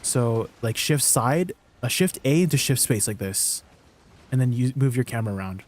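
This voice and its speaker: Relaxed Canadian-raised male streamer, first language Tagalog, mid-pitched, chatty, laughs often. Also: normal speed. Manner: laughs often, relaxed, lots of ums while explaining. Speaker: game streamer. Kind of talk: casual live-stream chat and gameplay tips.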